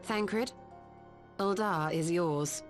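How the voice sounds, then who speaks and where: A woman's voice: fairly low pitch, drawn-out words, soft background music. A woman playing a composed, decisive, learned mage in a video game, formal and authoritative. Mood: commanding. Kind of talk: video game cutscene acting.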